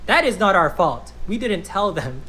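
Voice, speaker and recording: A man's voice: high-pitched, big pitch swings, normal speed, bright, forceful tone, poor audio. An American man delivers a pointed monologue in a confrontational way. He leans hard on emphatic rhetorical questions. The clip carries a faint layer of noise. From United States. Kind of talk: argumentative monologue to camera.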